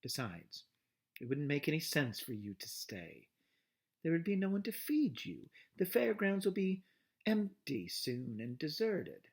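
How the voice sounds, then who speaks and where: A man doing a calm, gentle old spider lady. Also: fairly high pitch, a little slow, gravelly, breathy edge, exaggerated voice. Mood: gently firm. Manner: soft, calm, gently scolding. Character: wise, calm female spider, gentle and dying. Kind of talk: storybook read-aloud.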